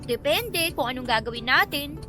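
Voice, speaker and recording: A woman's voice: sits high, expressive, moderate pace, bright, forceful tone, soft background music. A woman playing a feisty young Filipina in a radio romance drama, bickering with sassy, sarcastic and whiny banter. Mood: suspicious. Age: young adult.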